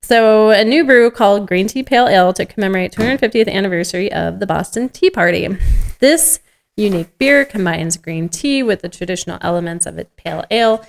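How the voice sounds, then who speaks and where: Woman podcast cohost, smooth clear voice, jokey and into it, casual chat. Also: mid-range voice, expressive, brisk. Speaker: podcast co-host. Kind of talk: casual chat with read-out news items.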